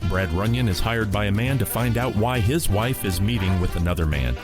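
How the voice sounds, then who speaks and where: A man's voice: fairly low pitch, brisk. A man hosting a radio show, giving a scripted introduction to the program. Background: guitar music.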